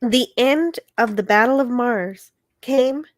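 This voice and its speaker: Slow, high-voiced woman reading aloud. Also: dry recording. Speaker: narrator reading a novel aloud. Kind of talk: dramatic reading of a sci-fi war novel.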